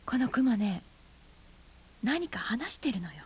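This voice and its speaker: An actress in her forties playing a brooding, bitter aunt who is a schoolteacher, speaking softly and often in a half-whisper in a radio drama. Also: on the high side, very animated, takes it slowly, slightly rough, heavily acted. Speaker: high school teacher.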